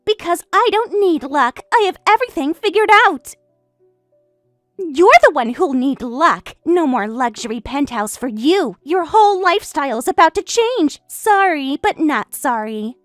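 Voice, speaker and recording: A woman playing a shrill, smug home-wrecker. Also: lively intonation, unhurried, no room sound, clean studio sound, faint music behind. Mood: boastful. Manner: taunting, boastful, indignant. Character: smug, catty young woman who stole her best friend's husband. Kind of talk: voiced story drama.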